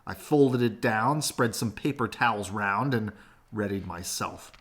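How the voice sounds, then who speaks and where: A man reading a children's picture book aloud in an expressive storytelling way. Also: fairly low pitch, measured pace, breathy edge.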